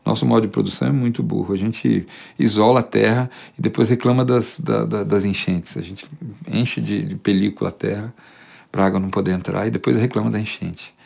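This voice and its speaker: Gravelly man musing. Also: lowish voice, steady tone, a little fast, a little mellow. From interior of São Paulo state, Brazil. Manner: thoughtful, many restarts and repeated words. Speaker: singer-songwriter, interviewee. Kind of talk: reflective conversational monologue.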